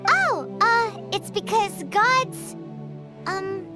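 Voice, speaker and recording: A woman voicing an excitable tiny female fairy companion in a video game cutscene, squeaky and eager, asking questions and exclaiming. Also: drawn-out words, heavily acted. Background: game soundtrack music, loud.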